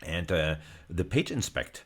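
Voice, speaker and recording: Low-voiced lecturer with a rough voice. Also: male voice, sing-song, a little slow. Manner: thinking aloud, frequent fillers and restarts. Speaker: university lecturer. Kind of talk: recorded video lecture with screen demo.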